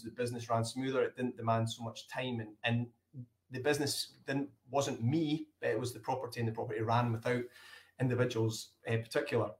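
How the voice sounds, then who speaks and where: A man with a Scottish accent, a hotel owner answering interview questions hesitantly, with many ums. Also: medium pitch. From Scotland.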